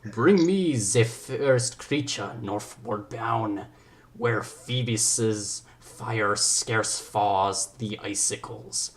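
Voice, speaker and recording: A man's voice: mid-range voice, very animated, takes it slowly. A man playing a proud foreign prince wooing a lady, declaiming Shakespeare grandly in an amateur stage reading. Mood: solemn wooing.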